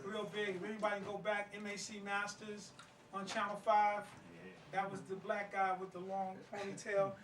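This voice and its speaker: A man's voice: high-pitched, monotone, normal speed, fairly bright tone, a little hiss or hum. A middle-aged man speaking informally and emphatically, in a plain-spoken, street-wise way. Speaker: playwright and martial arts master. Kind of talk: informal spoken interview and presentation. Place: indoor gathering at a play reading. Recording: roomy, distant mic, people in background.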